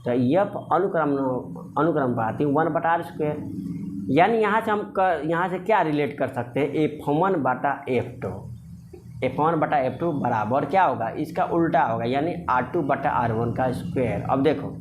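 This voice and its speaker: A man's voice: on the high side, moderate pace, noisy background. A man teaching physics informally step by step, repeating himself and answering his own questions. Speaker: physics teacher for exam candidates. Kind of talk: informal explanatory lesson, working through numericals.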